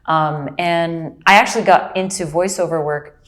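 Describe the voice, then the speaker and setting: Low-voiced woman, reflective storyteller. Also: fairly even intonation, moderate pace, slight background noise. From Brazil. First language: Portuguese. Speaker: actor and audiobook narrator. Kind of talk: casual interview.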